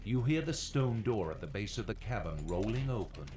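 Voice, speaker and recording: A man's voice: on the low side, expressive, normal speed, noisy background, natural voice. A man playing a dungeon master, narrating a fantasy game adventure in a dramatic, portentous storytelling manner. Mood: ominous, suspenseful.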